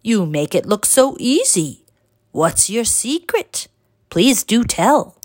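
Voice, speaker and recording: A woman voicing a patient, encouraging male master potter who teaches in a measured, instructive way. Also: fairly high pitch, sing-song, no room sound, studio quality, full character voice. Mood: admiring.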